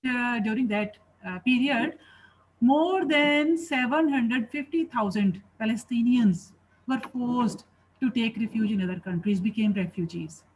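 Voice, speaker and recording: A woman moderating a webinar formally, speaking in a hesitant, halting way. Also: on the high side. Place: online video call. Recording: noisy online call.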